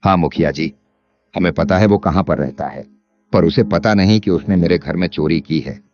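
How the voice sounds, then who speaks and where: Very deep, sly village landlord acted by a man. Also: normal speed, a little mellow, close to an everyday voice. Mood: feigned grievance. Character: cunning, greedy village landlord, scheming and falsely wronged. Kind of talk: animated moral story character acting. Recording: dull lo-fi sound under music.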